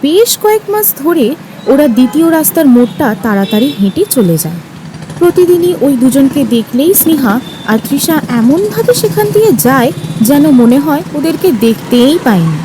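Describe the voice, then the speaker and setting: Young woman storyteller, high voice, scripted narration, street noise behind her. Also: sing-song, normal speed. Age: young adult. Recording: noisy street ambience behind.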